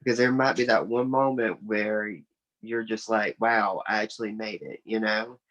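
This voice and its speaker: A young man with a Southern American accent and a fairly low voice. He speaks casually in an interview, in an earnest, plain-spoken way. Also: steady tone, normal speed, fairly bright tone, lo-fi sound. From South Carolina, United States. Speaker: interview guest, author and musician.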